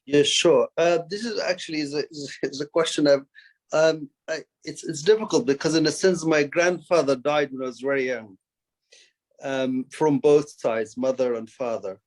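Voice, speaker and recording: A man on a panel speaking haltingly, searching for his words. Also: fairly high pitch, rough recording. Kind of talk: panel discussion.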